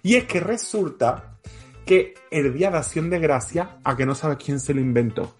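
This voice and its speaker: A man's voice: fairly high pitch, animated, moderate pace, husky, faint music behind. A man from co-hosting a casual podcast, telling trivia in a playful, jokey way and laughing often. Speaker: podcast co-host. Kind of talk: casual chat, storytelling trivia.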